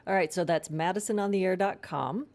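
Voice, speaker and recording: Female podcast host, lowish pitch, informal and encouraging, short interjections and questions. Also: a little fast, a little mellow. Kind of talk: casual interview chat.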